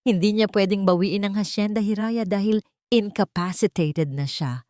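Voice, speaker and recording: A woman's voice: medium pitch, normal speed, mellow, no echo, clean studio sound, voice pushed up, close to an everyday voice. Woman playing a ruthless commanding villainess, raised voice. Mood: angry, scheming. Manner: imperious, insistent. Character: ruthless, commanding villainess barking orders at her lawyer.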